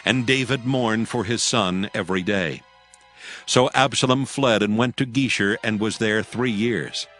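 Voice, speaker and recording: A man's voice: fairly low pitch, a bit bright. A man giving a solemn scripted narration of scripture in archaic English. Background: faint music.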